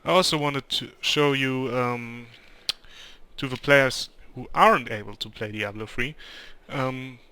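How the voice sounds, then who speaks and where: German guy w accent talking slow over his game, lots of ums, smooth crisp voice. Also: mid-range voice, pushed and bright, dry recording. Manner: hesitant, many ums and restarts. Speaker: gamer. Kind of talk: gameplay commentary.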